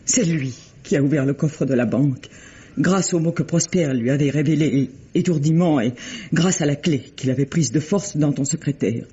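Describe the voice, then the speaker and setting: Guilt-ridden wife confessing in old french radio play, pleading, husky, muffled old recording. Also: female voice, low voice, normal speed, mellow. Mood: urgent confession. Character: remorseful wife confessing a long-hidden secret to her trusting husband. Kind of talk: vintage French radio drama acting.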